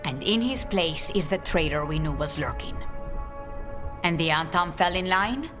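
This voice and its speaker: A low-pitched woman's voice with faint music behind it an actress in a game role. She is a composed, resolute underworld leader who chooses her words formally and deliberately, as in a dramatic fantasy cutscene. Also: lively intonation, lightly acted. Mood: grave resolve. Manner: measured, formal, deliberate phrasing. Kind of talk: video game cutscene acting.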